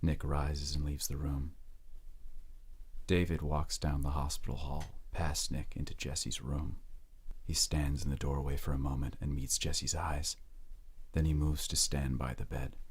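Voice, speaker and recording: A man narrating an audio drama in a grave, measured, dramatic storytelling manner. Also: extremely low pitch, level tone, breathy edge.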